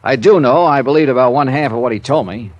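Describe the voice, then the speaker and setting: An actor playing a tough, wry American insurance investigator in 1950s radio style, dry, blunt and clipped. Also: male voice, mid-range voice, quick pace, dry recording, lo-fi sound, natural voice. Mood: frank, dry. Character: tough, wry freelance insurance investigator, male, narrating his cases. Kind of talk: 1950s radio detective acting, clipped hard-boiled narration.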